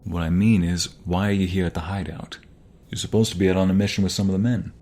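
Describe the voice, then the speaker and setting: A man's voice: low voice, flat delivery, fast, mellow. A man playing a young romantic mobster, protective and teasing, in an intimate ASMR audio roleplay. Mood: guarded, protective.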